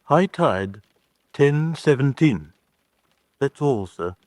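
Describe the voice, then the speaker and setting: Manservant, medium-pitched, nervous but loyal, deferential, plain-spoken, radio drama. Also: male voice, expressive, slow pace, darkish tone, no echo, clean studio sound. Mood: deferential, uneasy. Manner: deferential, colloquial.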